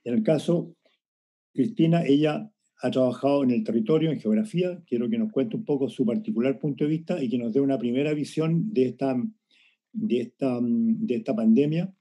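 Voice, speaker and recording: A man moderates an online academic panel in a very dark, soft-edged voice. His tone is husky and mid-pitched, and he speaks haltingly, repeating words as he builds his sentences. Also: muffled recording. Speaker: forum moderator. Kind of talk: unscripted introduction at an academic online forum. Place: remote online video forum.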